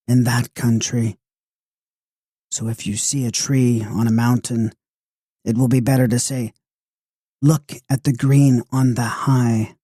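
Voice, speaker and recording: A man's voice: medium pitch, dark tone, breathy edge, no room sound, studio quality. A man voicing a mysterious old lady guide who speaks in a repetitive sing-song.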